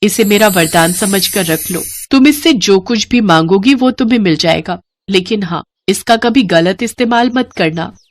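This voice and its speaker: A woman's voice: medium pitch, animated, moderate pace, hardly any pauses, no echo, natural voice. A kindly, dignified fairy as acted by a woman from a storybook tale. She speaks in a medium register with formal, solemn earnestness, like one who grants boons. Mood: gentle, grateful. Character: gentle, grateful female forest fairy granting boons, stern with wrongdoers. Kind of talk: Hindi moral storybook acting.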